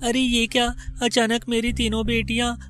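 A man doing a high-pitched distressed mother. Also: monotone, moderate pace, fairly bright tone. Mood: shocked.

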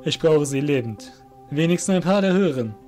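Man acting a commanding fantasy guild master, irritable, loud, emphatic, slightly high, music behind. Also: animated, brisk, lightly acted. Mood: stern, annoyed.

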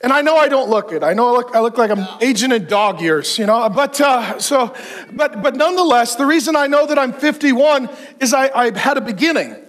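A man of fifty-one preaching a sermon on a large church stage, animated and joking as he gestures. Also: very high voice, brisk. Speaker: pastor.

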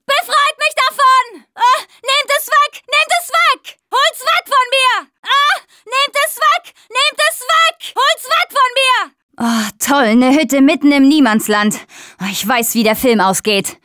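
A tough young woman fighting through a zombie video game. Her voice is high and swings widely in pitch. She speaks in short, slangy, unhurried bursts, expressive and casual. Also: no echo, clean studio sound, exaggerated voice. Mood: panicked, screaming, then wry. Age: young adult.

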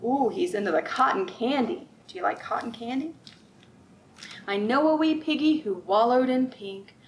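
A middle-aged woman reading aloud at a children's storytime, expressive and sing-song, drawing out rhymes and putting questions to young listeners. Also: a little high, normal speed, a little hiss or hum, low-quality recording. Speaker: children's librarian, storytime host. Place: library room with bookshelves, indoors.

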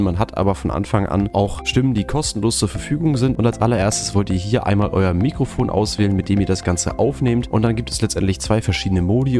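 A man narrating a software tutorial in an informal, explanatory way. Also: fairly low pitch. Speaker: YouTube tutorial host. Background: background music.

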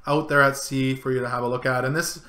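Bright-voiced man with casual on-screen walkthrough. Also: medium pitch, flat delivery, fast, echoey room. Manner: casual, walks through clicks and zooms step by step. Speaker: travel vlogger. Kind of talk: screen-share tutorial. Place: home room, indoors.

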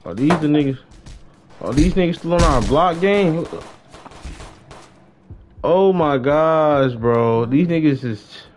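A man's voice: a little high, slow pace, long pauses, darkish tone, soft background music. A young man chatting casually on a gaming livestream in slangy, profane street talk. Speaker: game livestreamer. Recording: dull lo-fi mic with noise.

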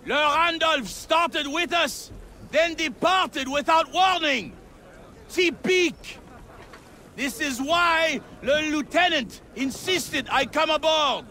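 A haughty French naval officer in a video game. His French-accented voice is extremely high and sharp, indignant and emphatic. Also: takes it slowly, slight background noise, heavily acted.

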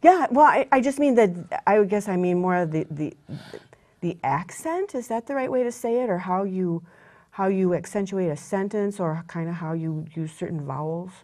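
A woman's voice: fairly low pitch, expressive, moderate pace, darkish tone. A woman, chatting casually as a craft guest on a TV studio set, rambling with restarts and self-deprecating asides. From rural Wisconsin, USA. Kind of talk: casual chat while demonstrating a craft.

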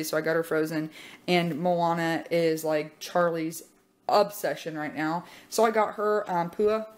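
A young woman chatting casually in her home kitchen, upbeat and full of "um" pauses as she walks through her grocery haul. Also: fairly low pitch, background noise. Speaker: vlogger showing a grocery haul. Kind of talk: casual chat.

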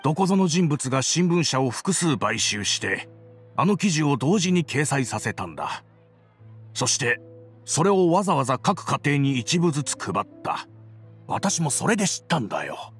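Wry, wary businessman, lively pitch. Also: moderate pace, soft background music. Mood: cautious. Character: wry, wary businessman, long-time trading partner. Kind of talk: Japanese anime game voice acting.

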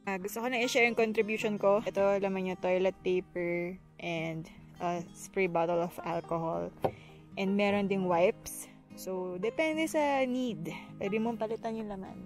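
A woman talks casually and chattily, explaining the things she packed for the trip. She is recorded outdoors at a campground, with soft music faintly underneath. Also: medium pitch, moderate pace. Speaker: family camping vlogger. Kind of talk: casual vlog chat.

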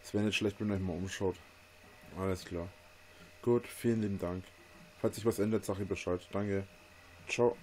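A man's voice: sits low, normal speed, pauses often, a little hiss or hum. A man talking plainly and matter-of-factly, as on a phone call. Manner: flat, matter-of-fact.

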